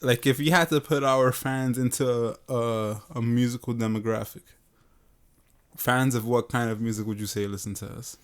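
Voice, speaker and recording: Young man, podcast co-host, loose and jokey, swears a lot, mid pitch. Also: steady tone, normal speed. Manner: joking, loose, swears often. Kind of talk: casual chat.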